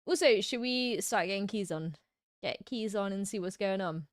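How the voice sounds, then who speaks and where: A woman's voice: medium pitch, moderate pace, no room sound, studio quality. A British woman hosting a livestream who chats casually and giggly, reading viewer comments aloud. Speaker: livestream host. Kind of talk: casual chat reacting to live comments.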